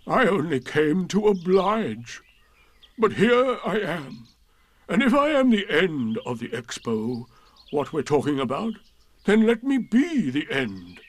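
Slow, gloomy, grumbling donkey. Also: male voice, high-pitched, no room sound, voice pushed up, full character voice. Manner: slow, morose, complaining. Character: gloomy, grumbling, put-upon old male donkey. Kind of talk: children's storybook reading.

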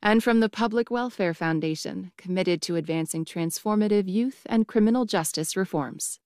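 Woman announcer for sponsor reads, measured, dry close mic. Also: medium pitch, a little mellow, dry recording. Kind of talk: scripted sponsor reading.

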